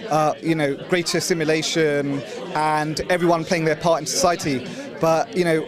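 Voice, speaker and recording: A man lays out his argument articulately, leaning on fillers as he speaks. His voice is slightly high. A crowd murmurs behind him. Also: little pitch movement, normal speed. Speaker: debate attendee. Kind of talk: spoken argument in interview.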